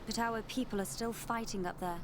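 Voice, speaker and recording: A woman's voice: a little high, steady tone, fairly quick, natural voice. An actress playing an earnest, hopeful young woman in a video game cutscene. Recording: clear background noise.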